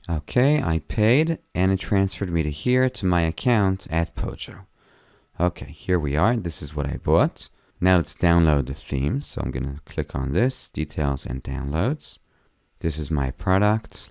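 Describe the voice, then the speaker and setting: A hoarse man walking through a website tutorial step by step in a plain, friendly way, speaking at a desktop microphone. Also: low-pitched, darkish tone, no echo, studio quality. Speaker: web design tutor. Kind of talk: screen-recorded tutorial narration.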